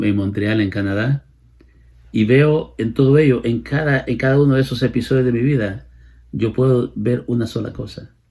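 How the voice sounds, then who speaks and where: A man's voice: on the low side, vocal fry, darkish tone, breathy edge. A man in his late fifties with a Salvadoran accent, preaching a sermon and explaining scripture, repeating phrases for emphasis. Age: late 50s. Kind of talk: sermon, Bible exposition.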